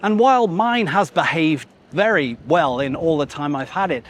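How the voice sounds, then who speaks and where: A man's voice: on the high side, lively intonation, normal speed. A British man narrating a scripted but conversational video essay as its host, with wry, playful, self-deprecating asides. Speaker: YouTube host.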